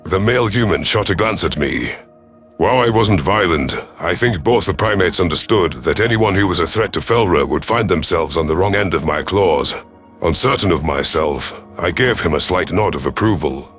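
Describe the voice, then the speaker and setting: A man's voice: low voice, animated, moderate pace, a bit bright, faint music behind. A man narrating a first-person science fiction story in a dramatic audiobook style.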